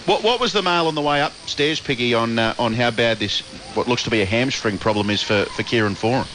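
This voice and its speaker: An Australian man hosting a post-match radio panel, reading listener emails with lively banter. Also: medium pitch, brisk, a little hiss or hum, low-quality recording. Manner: lively, reads listener emails, laughs often. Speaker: radio host.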